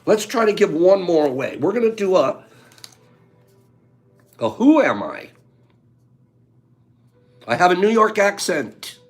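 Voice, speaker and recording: Male livestream host, high voice, playful and teasing with chat, faint music underneath. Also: big pitch swings, unhurried, pauses often. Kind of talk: casual livestream chat with viewers.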